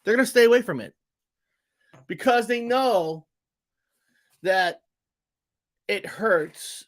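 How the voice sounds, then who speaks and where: A man hosting a show on his own, rambling and repeating words as he talks straight to viewers, his voice going hoarse as he gets sick. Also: high voice, slow. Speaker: show host. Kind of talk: casual solo podcast monologue.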